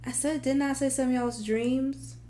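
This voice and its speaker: A woman hosting a tarot livestream in casual, animated, informal talk, thinking aloud and repeating phrases for emphasis, her voice turning a little raspy. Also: high voice, normal speed, a little hiss or hum. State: voice getting raspy. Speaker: tarot reader and livestream host.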